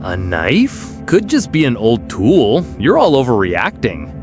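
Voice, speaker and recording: A man's voice: mid-range voice, huge pitch swings, measured pace, darkish tone. A man playing a dismissive, secretly sinister character in a radio horror drama. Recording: eerie music underneath.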